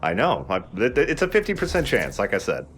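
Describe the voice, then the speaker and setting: A man with a mid-pitched voice gives casual let's play commentary. He explains the game informally and slips in jokes, with faint noise behind him. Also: huge pitch swings, fairly quick, fairly bright tone. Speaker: let's player giving commentary. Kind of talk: casual gameplay commentary over a shooter.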